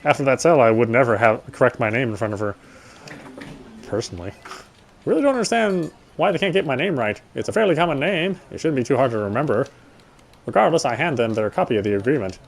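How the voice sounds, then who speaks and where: Guy doing a lets play, reads the text out loud in a normal mid voice, stumbles a bit, casual side comments. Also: mid-range voice, expressive, fast talker, creaky. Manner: stumbles and rereads lines. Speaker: gamer reading a visual novel aloud. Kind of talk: reading game narration with casual asides.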